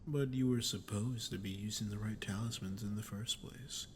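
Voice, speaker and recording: A man playing a sadistic, smug archdemon in an ASMR roleplay, talking down to the listener with slow, mocking condescension. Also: on the low side, fairly even intonation, a little hiss or hum, natural voice. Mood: coolly menacing. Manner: mocking, condescending, slow taunting delivery with breathy drops. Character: sadistic, smug male archdemon toying with a captive ruler. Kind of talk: ASMR audio roleplay, dramatic monologue to the listener.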